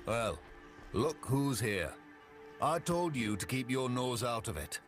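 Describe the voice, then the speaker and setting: An actor playing a surly, menacing guard in a fantasy game, with a taunting, sardonic way of talking. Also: male voice, mid-range voice, expressive, takes it slowly, faint music behind. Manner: taunting, sardonic, casual threats. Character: surly, menacing male camp guard itching for a fight.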